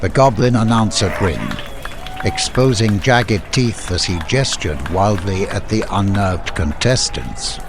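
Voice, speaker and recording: A man's voice: fairly low pitch, very animated, unhurried, creaky, background noise. A man reading scripted fantasy narration as an audiobook storyteller.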